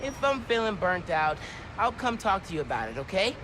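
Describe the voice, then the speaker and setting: An actor voicing an earnest teenage boy superhero with a youthful, lively delivery in a game cutscene. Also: high voice, fairly quick.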